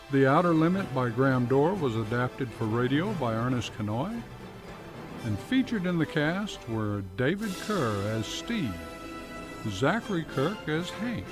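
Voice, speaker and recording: Slow, expressive male radio announcer. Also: medium pitch. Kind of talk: scripted radio drama credits announcement.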